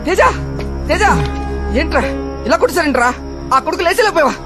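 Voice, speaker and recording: An excitable young sidekick in a film drama, with a very high-pitched voice and quick, colloquial delivery. Music plays clearly behind him. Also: male voice. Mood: agitated. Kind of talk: Telugu film drama acting. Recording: film score behind dialogue.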